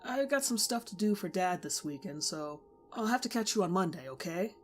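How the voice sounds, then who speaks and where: A woman's voice: lowish voice, expressive, moderate pace, faint music behind, natural voice. A woman voicing a poor, proud teenage boy in a storybook reading, answering tersely and defiantly. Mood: evasive.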